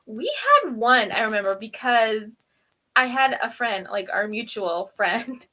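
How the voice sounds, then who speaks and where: A woman's voice: fairly high pitch, unhurried, pushed and bright. A woman co-hosting a review show in casual chat, telling stories in an informal, self-deprecating way. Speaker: podcast co-host reviewing TV episodes.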